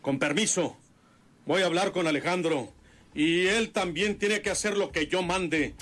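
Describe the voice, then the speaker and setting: A man's voice: high voice, a little slow, a bit bright. A man playing a domineering, stubborn patriarch, emphatic and impatient in a radio soap opera. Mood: commanding. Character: domineering, stubborn patriarch, gruffly defending a woman.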